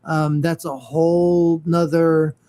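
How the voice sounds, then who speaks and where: Guy in a podcast chat, kinda slow and monotone, lots of uhs and restarts. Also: a little high. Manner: halting, many fillers and restarts. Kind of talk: casual geeky chat.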